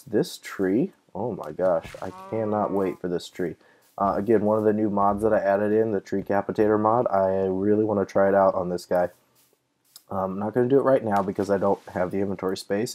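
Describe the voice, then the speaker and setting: A young man hosting casual let's-play commentary, chatty and easily excited, thinking aloud with fillers, his voice a little off from being slightly sick. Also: low-pitched. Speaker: gaming channel host.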